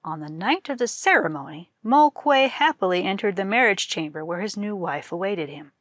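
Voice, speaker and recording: A woman's voice: mid-range voice, expressive, moderate pace, a bit bright, no room sound. Dry-sounding woman reading a folktale. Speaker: storyteller reading aloud for a library event. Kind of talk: expressive folktale narration.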